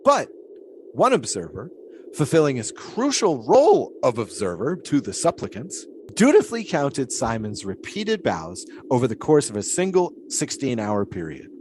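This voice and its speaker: Brief: 1920s pole sitter, lofty and musing, lively swooping delivery, faint ambience. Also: male voice, mid-range voice, normal speed, slight background noise. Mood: philosophical. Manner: grandiose, musing, theatrical. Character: philosophical 1920s male flagpole sitter. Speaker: flagpole sitter being interviewed. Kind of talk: period audio drama acting.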